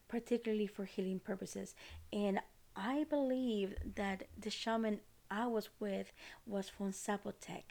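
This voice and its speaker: A woman's voice: mid-range voice, creaky, dark tone. A woman from Costa Rica with a Costa Rican Spanish accent, hosting a podcast and explaining things in a rambling way with many fillers and restarts. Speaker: podcast host.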